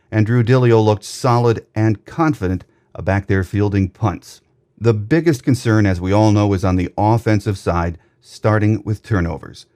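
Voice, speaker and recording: Guy w/ lowish voice doing measured sports podcast monologue, reading his commentary. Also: darkish tone. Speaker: podcast host.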